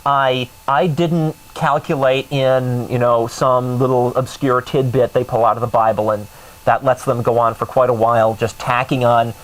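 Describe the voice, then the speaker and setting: A man's voice: mid-range voice, fairly even intonation, normal speed, rough recording. Sardonic man hosting a talk show. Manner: sardonic, mocking. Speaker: co-host of a call-in talk show. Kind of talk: casual talk show commentary.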